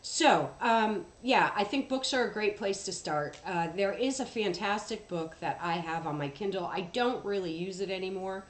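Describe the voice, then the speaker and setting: A woman chatting casually on a livestream, congested from allergies, rambling with many ums and throat clears, recorded through a headphone mic across the room at home. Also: mid-range voice, normal speed. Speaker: livestream host. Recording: echoey room, faint background noise.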